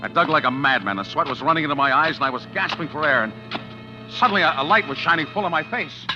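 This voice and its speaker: An actor playing a man who narrates his own crime in a 1940s radio drama, tense and halting. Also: on the high side, lively intonation, close to an everyday voice. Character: male narrator who turns killer, jittery. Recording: dull old radio recording with music.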